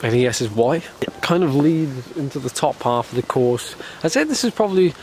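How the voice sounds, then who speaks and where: A man's voice: medium pitch, lively intonation, moderate pace, a little mellow, slight background noise. A man from the United Kingdom, a professional cyclist, talking casually to camera while ill and slightly congested, rambling with lots of fillers and restarts. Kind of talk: casual vlog talk to camera.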